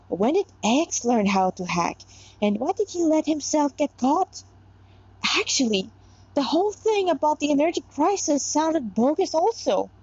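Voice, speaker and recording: A woman reader plays a devout female warrior from a story, worn down by her task. Her voice is fairly high, and she muses to herself in exasperation. The sound is a little dull and lo-fi. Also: dark tone, dry recording. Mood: exasperated, suspicious. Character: weary, devout female warrior brooding over her mission.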